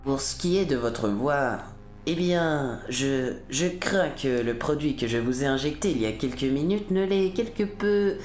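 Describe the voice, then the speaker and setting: A woman's voice: sits low, expressive, unhurried, a little mellow, reverberant, soft background music. A woman playing a sadistic military interrogator in an audio drama, gloating, taunting and cruel with mock-polite menace. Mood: cold menace.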